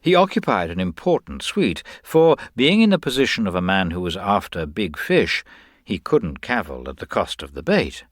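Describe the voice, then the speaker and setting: A man's voice: low voice, sing-song, moderate pace, no echo. A man giving a scripted story narration with dry wit, as the narrator of a tale.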